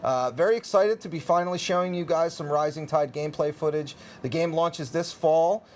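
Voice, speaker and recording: A man hosting a relaxed gameplay chat, easygoing and quick to laugh, stumbling over repeated words. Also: a little high, slight background noise. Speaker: host, community manager.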